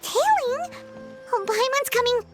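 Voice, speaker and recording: Squeaky, excitable little fairy companion. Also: female voice, measured pace, faint music behind. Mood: curious. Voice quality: squeaky, childlike. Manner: excitable, exclamatory, speaks of itself by name. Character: small childlike female fairy companion, excitable and bold. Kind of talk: video game voice acting.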